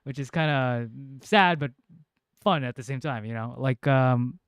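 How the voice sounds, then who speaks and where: A man's voice: medium pitch, a little slow, clear, resonant tone, dry recording. A male game streamer, a native Cebuano speaker, chatting casually and rambling with lots of fillers. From Philippines. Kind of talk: casual livestream chat.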